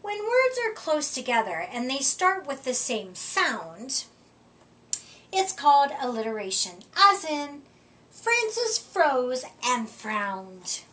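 A middle-aged woman reading a rhyming picture book aloud to children, with deliberate, expressive emphasis, recorded a short way from the mic. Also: high voice. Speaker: children's storytime reader. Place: indoor room, seated before a curtain. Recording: voice distant from the microphone.

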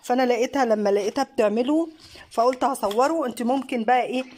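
Egyptian mom, casual vlog host, warm and encouraging, phone-recorded at home. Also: female voice, a little high, quick pace, slight background noise. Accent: Egyptian Arabic. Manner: warm praising, encouraging, mixes in many fillers. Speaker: craft channel host and mother. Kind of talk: casual home craft vlog, talking behind the camera. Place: living room at a home table. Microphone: phone camera held by the speaker.

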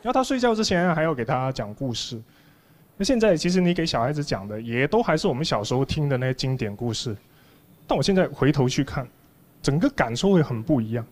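A man's voice: mid-range voice, big pitch swings, quick pace. A man in his thirties performing a stand-up comedy monologue on a studio stage, telling stories conversationally with mock indignation into a handheld microphone. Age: 30s. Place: large TV studio stage with audience. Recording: clear audience noise behind the voice. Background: audience noise.

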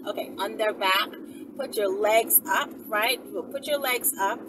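A woman in her thirties talks casually to her viewers from inside a car. She rambles and thinks out loud, often putting questions to them. Her medium-pitched voice sits a little away from the mic, with light ambient noise around it. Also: unhurried. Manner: musing aloud, rambling, rhetorical questions. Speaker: vlogger, channel host. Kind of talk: casual unscripted vlog chat to viewers. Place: inside a car by a street, daytime. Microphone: camera at arm's length. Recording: distant mic, noisy car interior.

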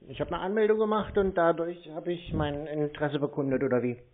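A man's voice: on the high side, steady tone, brisk. Skeptical man on a tinny phone line. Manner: skeptical, persistent, challenging. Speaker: prank callee confronting phone scammers. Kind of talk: phone conversation, probing questions.

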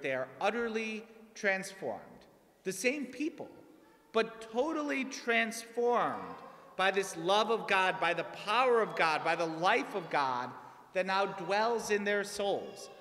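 A man's voice: high-pitched, sing-song, measured pace, bright, forceful tone. A man in his thirties, a priest giving a casual, conversational homily from a pulpit, often checking in with "right?". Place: large church, at a pulpit. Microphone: pulpit mic on a stand. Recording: long church reverb.

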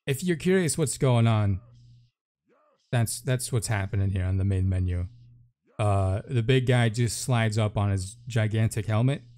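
A man's voice: on the low side, measured pace, dark tone. A man giving casual, offhand first-look commentary on a video game, thinking aloud as he goes. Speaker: gaming YouTuber.

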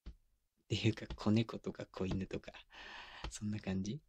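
A man's voice: little pitch movement, moderate pace, a little mellow, slight background noise, natural voice. A man playing a teasing, doting young boyfriend in an intimate ASMR roleplay, talking casually and playfully with bits of baby talk, close to a binaural mic. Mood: doting, affectionate. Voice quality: mid-low voice. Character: teasing, doting young boyfriend babying his exhausted, clingy girlfriend. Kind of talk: intimate ASMR roleplay drama, addressed to the listener. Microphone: close binaural microphone.

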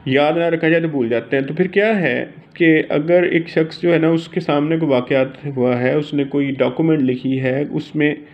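A man with a Pakistani accent giving an informal lesson as a teacher, reading out and explaining in a halting way. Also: on the high side, muffled recording. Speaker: law teacher.

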